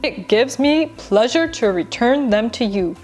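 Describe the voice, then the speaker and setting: A woman voicing a courteous, well-dressed gentleman in a children's storybook, speaking politely and formally. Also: fairly high pitch, expressive, measured pace, soft background music.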